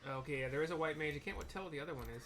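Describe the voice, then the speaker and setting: A man's voice: on the high side, rapid-fire. A man giving casual, informal live commentary on his own video game playthrough, thinking aloud as he goes. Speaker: gamer narrating own playthrough.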